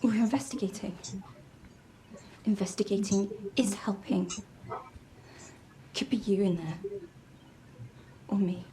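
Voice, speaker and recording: An actress playing a hushed, uneasy woman in a mystery game drama, speaking in a whispery voice. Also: medium pitch, takes it slowly, long pauses, slight background noise, natural voice.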